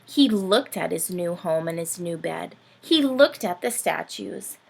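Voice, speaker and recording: A woman reading a picture book aloud for young children in an expressive, dramatic storytelling style. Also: fairly low pitch, unhurried, gravelly, husky.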